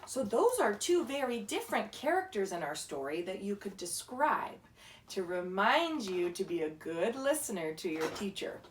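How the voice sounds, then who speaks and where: A woman reading a children's story aloud in an expressive, instructive way, pausing to ask the listener questions. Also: mid-range voice, distant mic, a little hiss or hum. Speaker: story reader, teacher.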